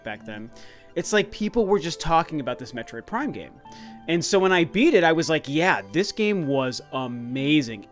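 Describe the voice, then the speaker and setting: A man in his late thirties hosting a video game channel, talking casually and eagerly, rambling with restarts and fillers. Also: on the high side. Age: 30s. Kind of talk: casual vlog talk.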